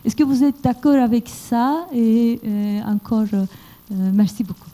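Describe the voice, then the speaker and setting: Audience member, woman, fairly high yet mellow voice, halting speech full of fillers. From Canada. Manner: hesitant, with frequent fillers. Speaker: audience member asking a question. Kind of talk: question from the floor. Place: lecture hall with an audience.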